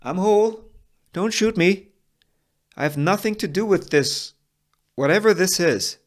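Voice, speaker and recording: A man's voice: high voice, measured pace, voice pushed up. A man voicing a tough, cool-headed, scheming woman gunslinger in a Western script, sardonic and commanding. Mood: pleading.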